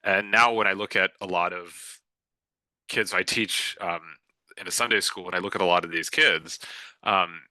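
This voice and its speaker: A middle-aged man talks off the cuff as a guest author, working through ideas with lots of "right?" and self-corrections. His voice sits low but comes across sharp and forceful, with a dry, close sound and little room around it. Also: fairly even intonation, normal speed, no room sound, low-quality recording. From United States. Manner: thinking aloud, frequent "right?" tags and restarts. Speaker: guest author on a festival panel. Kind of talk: unscripted panel talk.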